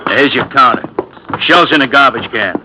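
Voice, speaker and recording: An American actor playing a huge, jealous, threatening restaurant manager in 1950s radio drama. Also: male voice, medium pitch, moderate pace, close to an everyday voice. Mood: gruff, bossy. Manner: gruff, threatening, commanding. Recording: noisy 1950s radio recording.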